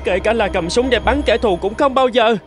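A man's voice: very high-pitched, moderate pace, soft background music. A man voicing a young soldier in a cartoon, earnest and solemn. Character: young soldier refusing to carry a gun. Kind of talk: cartoon dubbing.

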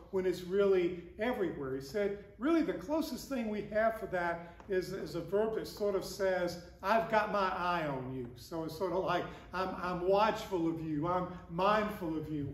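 An older man, a priest, reading the gospel and giving a conversational sermon with broad gestures, recorded by a far camera in a large wooden church. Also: sits high. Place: large wooden church with stained glass, indoors. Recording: echoey church from a distance.

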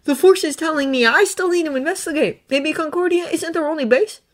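A woman's voice: high voice, fairly quick, pitched above the performer's own voice, lightly acted. A woman voicing an undercover male Jedi, earnest and weary, in a fan fiction audiobook.

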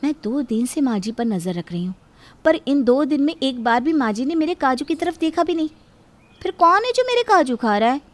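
A woman's voice: high voice, big pitch swings, brisk, a little hiss or hum. A woman voicing a quarrelsome, possessive young married woman in a cartoon family drama, scolding emphatically. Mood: puzzled, suspicious.

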